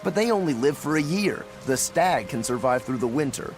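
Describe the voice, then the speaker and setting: A man's voice: medium pitch, expressive, normal speed, soft background music, natural voice. A man voicing an easygoing, boyishly enthusiastic swordsman in a video game, informal and breezy. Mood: excited, argumentative. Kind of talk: English video game voice acting.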